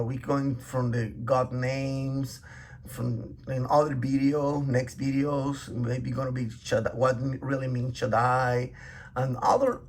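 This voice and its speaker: Hebrew-speaking guy explaining in broken english, slow and raspy, lots of restarts. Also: medium pitch. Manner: halting, searching for words, many restarts and fillers; earnest and emphatic. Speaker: Bible teacher. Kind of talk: casual talk to camera.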